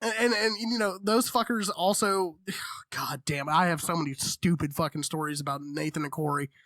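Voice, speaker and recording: A man in his thirties telling stories in a casual, animated, profanity-laced way as a podcast co-host. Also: on the high side, normal speed, no room sound. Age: 30s. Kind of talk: casual storytelling chat.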